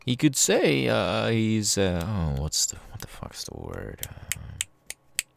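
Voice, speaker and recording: A man voicing a sadistic, gleeful masked killer in an ASMR roleplay, taunting the listener in a gravelly voice. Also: wildly swooping pitch, a little hiss or hum, full character voice. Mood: chatty, condescending. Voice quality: creaky, gravelly low voice. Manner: slow, taunting, drawn-out with sudden swings. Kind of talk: ASMR villain roleplay, close and menacing.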